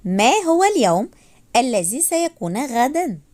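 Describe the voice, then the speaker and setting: A woman teaches beginner phrases in a raised, animated voice. She says each phrase slowly, repeats it, and then gives a quick translation. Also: fairly high pitch. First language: Arabic. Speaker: language teacher. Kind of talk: slow phrase drilling for learners.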